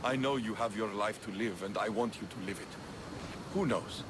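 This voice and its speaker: A man playing a proud, heartfelt young Spartan soldier, earnest and expressive, in a video game cutscene. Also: lowish voice, normal speed, noisy background, natural voice. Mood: tender farewell.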